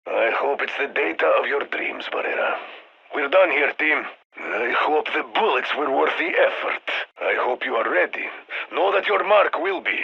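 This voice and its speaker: Gravelly, dry Russian military commander. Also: male voice, fairly high pitch, expressive, moderate pace, dull audio, full character voice. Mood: commanding. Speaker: squad handler on radio. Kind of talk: scripted game voice lines, mission briefing.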